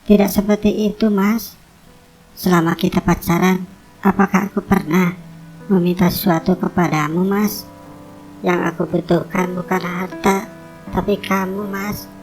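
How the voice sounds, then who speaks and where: A woman playing a devoted, soft-hearted young woman in love in a cartoon drama, speaking in an earnest, pleading way. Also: on the low side, fairly even intonation, a little slow, long pauses, faint music behind, natural voice. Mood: tender, pleading.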